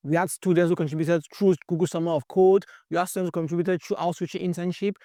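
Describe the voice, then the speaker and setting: Earnest man hosting, fairly high voice. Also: dark tone, dry recording, studio quality. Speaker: host. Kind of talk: scripted introduction.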